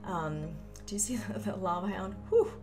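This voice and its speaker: A woman's voice: mid-range voice, normal speed. A woman giving a casual, chatty explainer on a game update, with informal asides and folksy exclamations. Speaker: gaming channel host. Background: background music.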